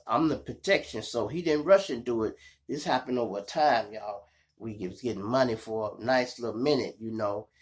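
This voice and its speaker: A man with a Chicago accent and a gravelly voice, hosting a show and telling street stories in slangy, animated style, acting out the dialogue. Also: mid-range voice. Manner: animated, slangy, addressing listeners as y'all. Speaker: online show host and storyteller. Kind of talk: casual street storytelling with acted-out dialogue.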